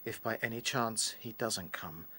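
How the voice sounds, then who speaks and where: An actor playing an earnest, determined rabbit leader in a radio play, grave and thoughtful. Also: male voice, on the low side, a little slow, sharp tone, a little hiss or hum. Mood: grave, resolved.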